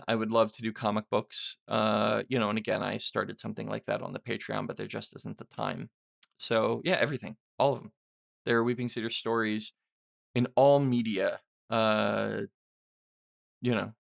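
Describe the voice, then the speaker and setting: A man's voice: medium pitch, vocal fry, a bit bright. A middle-aged man hosting a casual, unscripted question-and-answer podcast, rambling and self-deprecating as he thinks aloud. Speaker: podcast host and writer answering listener questions.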